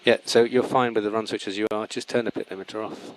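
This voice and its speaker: A man working as a race engineer, giving calm, step-by-step instructions over team radio and repeating key words. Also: lowish voice, fast talker, low-quality recording.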